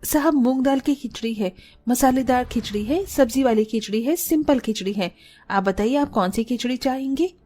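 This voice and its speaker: Casting: actress as modest young cartoon cook, polite, earnest, highish voice. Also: female voice, moderate pace, mellow, lightly acted. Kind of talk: cartoon story acting.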